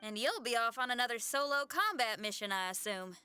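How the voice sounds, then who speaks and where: A woman's voice: fairly high pitch, expressive, a little fast, bright, forceful tone, smooth. An actress playing a friendly, loyal young woman in a video game, with a folksy, casual manner. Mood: practical. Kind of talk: English video game voice acting.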